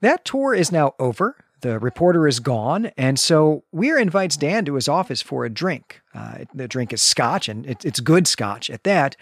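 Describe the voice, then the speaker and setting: A man's voice: fairly high pitch, very animated, normal speed, hardly any pauses, slightly rough, no echo, clean studio sound. A man co-hosting a literary podcast, explaining the book in a thoughtful, casual way with small laughs. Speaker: podcast co-host.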